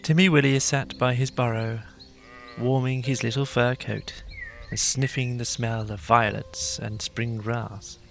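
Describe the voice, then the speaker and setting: A man's voice: mid-range voice, steady tone, a little slow, gravelly. A man narrating a children's tale as a scripted read-aloud. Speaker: storybook narrator. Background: soft music.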